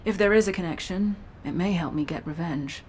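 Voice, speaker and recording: Guarded sci-fi game commander played by a woman: low female voice, clipped, authoritative, slightly off-mic. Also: brisk, darkish tone, far from the mic, slight background noise, natural voice. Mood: brisk, resolute. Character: driven, guarded female cyborg commander, brisk and resolute. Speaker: military commander character in a video game. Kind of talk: scripted game dialogue.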